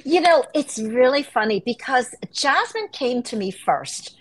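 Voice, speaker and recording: A woman's voice: sits high, measured pace, slightly rough, low-quality recording. A middle-aged woman from Hong Kong and New York, an author telling stories with animation as a guest on a video call from home. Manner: animated storytelling. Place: home room.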